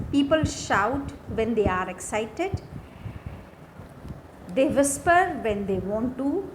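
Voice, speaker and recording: Female lecturer, Indian accent, fairly high voice, very unhurried lesson narration in short phrases. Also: poor audio. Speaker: English lecturer. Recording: faint background noise.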